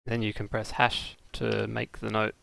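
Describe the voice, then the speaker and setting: A man giving a casual, unscripted software tutorial, thinking aloud in a halting, hesitant way with dry, self-deprecating humour. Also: on the low side, monotone, creaky. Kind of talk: casual unscripted screen-recorded software demo.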